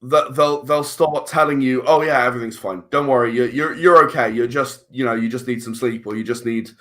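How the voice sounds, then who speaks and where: A man's voice: mid-range voice, brisk, a bit bright. A man chatting casually as a podcast guest, rambling and repeating words as he searches for his phrasing. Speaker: interview guest, filmmaker and audio drama writer.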